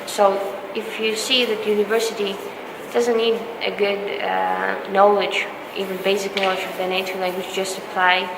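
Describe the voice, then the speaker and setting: A woman's voice: mid-range voice, little pitch movement, normal speed, gravelly, few pauses. Young Eastern European woman, echoey noisy hall. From Belarus. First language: not English; Polish is second language. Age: young adult. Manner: encouraging, reassuring. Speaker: exchange student giving advice. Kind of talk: casual advice chat. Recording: echoey hall with loud background noise.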